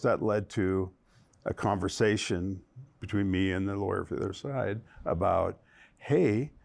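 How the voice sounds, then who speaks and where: A man's voice: fairly low pitch, creaky, a little mellow. A man, a lawyer, telling an anecdote in a documentary interview, quoting himself and others.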